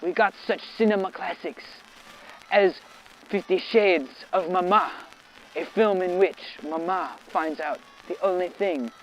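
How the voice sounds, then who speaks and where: A man's voice: sits high, a little slow, muffled recording, a little hiss or hum. A young man giving blunt, sarcastic opinions and sketch banter in a comedic video essay.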